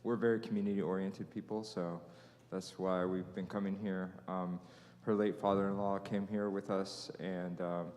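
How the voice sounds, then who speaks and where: A man's voice: low voice, flat delivery, normal speed, a little mellow, slight background noise. A man introducing himself in a class, hesitant and full of fillers. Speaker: class participant. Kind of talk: casual self-introduction. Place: church hall. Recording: long church-hall reverb.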